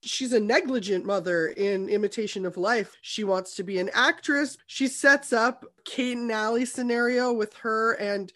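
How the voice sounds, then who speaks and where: A woman's voice: a little high, normal speed, few pauses, a bit bright. A woman co-hosting a podcast, giving casual, opinionated film analysis with dry humour. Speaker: podcast co-host.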